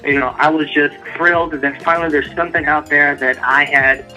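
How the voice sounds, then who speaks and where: A man's voice: a little high, steady tone, brisk, bright, forceful tone, faint music behind, rough recording. A man speaking as an author guest in a casual interview, earnest and motivational.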